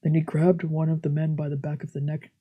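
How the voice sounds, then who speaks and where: A woman streamer with a breathy voice reading visual novel text aloud as dramatic narration. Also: deep voice, flat delivery, fairly quick, phone-quality sound, background noise. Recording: game music behind the voice. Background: game music.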